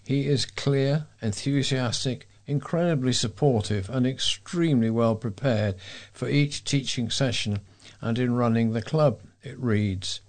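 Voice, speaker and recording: A man reading scripted local news as a volunteer newsreader, in a measured way. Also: mid-range voice, darkish tone.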